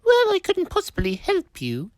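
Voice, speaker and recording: A man's voice: very high voice, huge pitch swings, normal speed, no echo, voice pushed up, heavily acted. A man voicing a haughty, boastful female vintage truck in a children's storybook reading, with a self-important, huffy manner. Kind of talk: storybook character voice.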